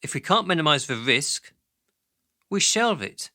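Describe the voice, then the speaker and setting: A brash, domineering male executive in a radio play. His voice is forceful and highish, swinging freely up and down as he breezily runs the room. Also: very animated, unhurried, dry recording, clean studio sound, exaggerated voice. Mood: breezy, brash. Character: brash, crude male pharmaceutical boss chairing a meeting. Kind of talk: radio drama acting.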